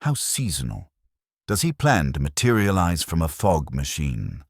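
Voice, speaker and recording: A man voicing a suave, sardonic high-society gentleman in an audiobook, polished, arch and condescending. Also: huge pitch swings, a little slow, gravelly, no room sound, clean studio sound, exaggerated voice. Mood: arch, amused.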